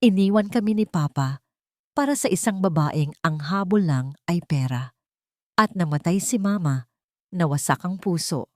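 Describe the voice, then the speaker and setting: A woman with a Filipino accent voicing a betrayed, quietly resolute heiress in an audio love story, soft but steady and bitter. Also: lowish voice, moderate pace, no echo, clean studio sound, close to an everyday voice. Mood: wounded, grave.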